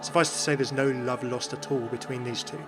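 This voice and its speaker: A man's voice: mid-range voice, steady tone, a little fast, over music. A man giving scripted explainer narration as the host of a video game lore video.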